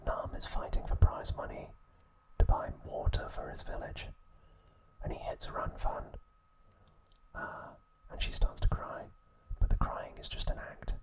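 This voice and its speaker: A man speaks entirely in a breathy whisper, as the host of an ASMR video. He narrates a recap softly and in measured, short phrases. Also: poor audio.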